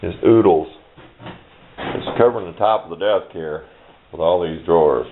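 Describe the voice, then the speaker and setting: Dull narrow camera-mic sound, middle-aged man, very low voice, folksy, rambling, casual hobbyist show-and-tell. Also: moderate pace, a little hiss or hum. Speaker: hobbyist showing his radio parts collection.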